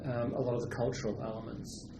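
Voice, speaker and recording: A man's voice: medium pitch, flat delivery, quick pace, creaky, roomy sound, background noise. British man thinking aloud in a seminar. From Britain. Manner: thoughtful, hesitant, many restarts and fillers. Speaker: lecturer and literary translator. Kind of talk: seminar question and answer. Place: university seminar room.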